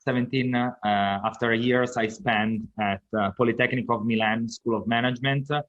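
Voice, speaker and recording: Italian-accented male academic guest, mid pitch, measured explainer, slightly dull remote-call sound. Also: fairly even intonation, a bit bright. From Italy. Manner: careful explanatory, frequent uh fillers. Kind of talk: podcast interview answers.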